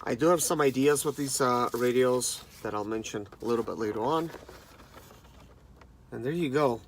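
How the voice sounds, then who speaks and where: A man's voice: medium pitch, moderate pace, a little hiss or hum. A man in his thirties chatting casually and informally to viewers as he reviews a product. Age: 30s. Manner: informal, conversational, addresses viewers as guys. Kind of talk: casual unboxing chat to camera.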